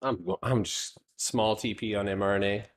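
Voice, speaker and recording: A man's voice: lowish voice, fairly even intonation, moderate pace. A man in his late twenties hosting a live stock trading stream, chatting casually and rambling with jokes that trail off into mumbles. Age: 20s.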